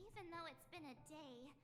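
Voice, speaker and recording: A woman's voice: sits high, in a large hall. A woman voicing a perky, dramatic, boastful young adventurer girl in a space fantasy video game, chatty and girlish. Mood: exasperated. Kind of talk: animated video game voice acting. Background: clear game music.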